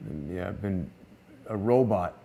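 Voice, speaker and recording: A man's voice: deep voice, lively intonation, a little slow, vocal fry, warm tone, husky. A man interviewing in a casual chat, listening attentively and asking questions. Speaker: interviewer, host.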